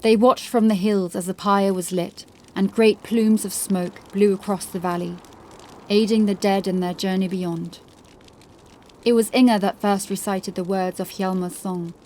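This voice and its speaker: A woman reading scripted literary fiction as an audiobook narrator, in a measured, serious way. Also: medium pitch, fairly even intonation, warm tone.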